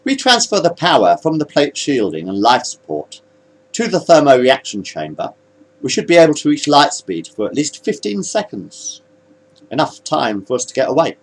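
A man's voice: mid-range voice, wildly swooping pitch, moderate pace, pitched above the performer's own voice, poor audio. A man voicing a firm, defiant blue-skinned alien first officer giving clipped commands in a space story. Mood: concerned.

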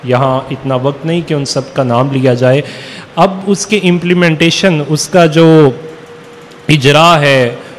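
A middle-aged Pakistani man, a religious scholar, giving a formal, somewhat halting convention speech into podium microphones. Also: medium pitch. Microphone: podium microphones through a PA. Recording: reverberant PA hall with background noise.

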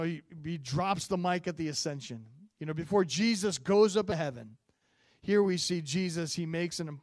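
A man in his forties preaches from a church stage into a handheld mic, his slightly high voice sounding close and dry. He talks in a loose, conversational way with plenty of fillers, swelling louder on key points and sinking soft and low in prayer. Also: no echo. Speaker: pastor. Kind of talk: sermon. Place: church stage, medium-sized room.

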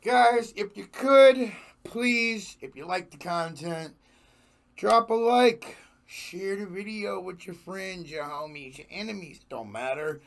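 A young man with a hoarse, gravelly voice pitched on the high side chats slowly and casually as a reaction host. He laughs easily and speaks close to a desk microphone in a small home room. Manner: slangy, laid-back, laughs often. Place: small home studio room with jerseys on wall. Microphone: large desk microphone in front.

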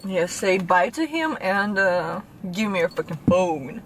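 A young woman playing a bossy, excitable friend in casual drama banter. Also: fairly low pitch, measured pace, a little hiss or hum. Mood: excitable, teasing. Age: young adult.

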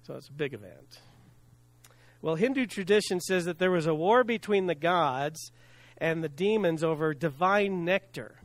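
Middle-aged man, pastor at the pulpit, sincere preaching with soft endings, slightly high, dry close mic. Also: lively intonation, no echo. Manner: earnest, preaching from a lectern with pauses and soft drops; self-deprecating laugh at hard words. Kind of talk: sermon. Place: church sanctuary with stage and screen. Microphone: stand mic at lectern, filmed from the back.

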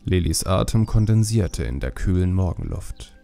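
A man reads a story as an audiobook narrator. His voice is low and creaky, measured and solemn, with a dark warm tone. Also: sing-song, soft background music.

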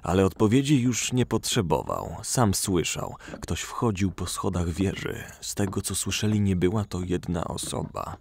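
A man's voice: low voice, animated, moderate pace, creaky, few pauses, a little hiss or hum. A man narrating a scripted fantasy audio story.

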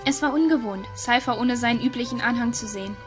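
High-voiced woman narrating a story. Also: fast. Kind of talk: scripted audio story narration. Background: music.

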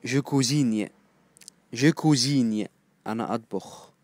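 A man with an Egyptian accent teaching phrases, repeating each one slowly and then glossing it in quick, casual asides. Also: medium pitch, darkish tone, no echo. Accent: Egyptian Arabic. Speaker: language teacher. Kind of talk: phrase-by-phrase language lesson.

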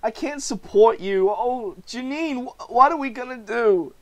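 A man's voice: very high-pitched, unhurried, voice pushed up. A man voicing a distraught, self-pitying young husband in a comic book reading, stammering and pleading. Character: anguished, self-pitying failed male comedian husband.

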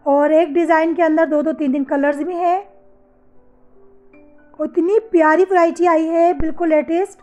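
A woman's voice: sits high, darkish tone, lo-fi sound. A woman, hosting a fashion channel in a casual, chatty voice-over that addresses her viewers as sisters and mothers. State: sore throat. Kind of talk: casual voice-over for a slideshow of products.

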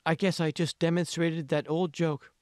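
A man's voice: a little high, level tone, normal speed, darkish tone, smooth, dry recording, clean studio sound, voice pushed up, natural voice. A man voicing an eager, self-satisfied tech billionaire in an audiobook, with a showy salesman's manner. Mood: flirtatious.

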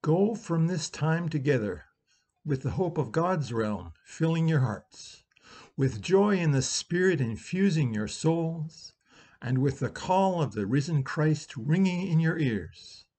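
A man in his sixties, a minister, reading a quiet benediction and announcements from home over a webcam. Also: a little high, animated, takes it slowly, breathy edge. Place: home room. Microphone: webcam on video call.

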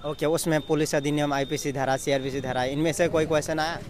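A man's voice: on the high side, level tone, fast talker. A man doing a casual street interview, asking short questions and often echoing the answers. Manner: inquisitive, echoes answers back. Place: outdoors on a busy street. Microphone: handheld interview microphone. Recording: outdoor street noise, passing vehicles.

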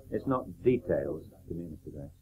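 Low, curt working-class cricketer. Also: male voice, animated, fairly quick, a little mellow, rough recording. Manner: curt, unimpressed. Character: blunt, hard-bargaining working-class Victorian professional cricketer. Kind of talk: radio play acting. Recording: old radio tape, faint noise.

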